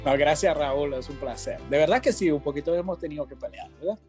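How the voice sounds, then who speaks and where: An Italian man, a television journalist, talking in a relaxed, reflective way as a podcast guest. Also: on the high side, fast, music in the background. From Italy. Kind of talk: casual interview talk.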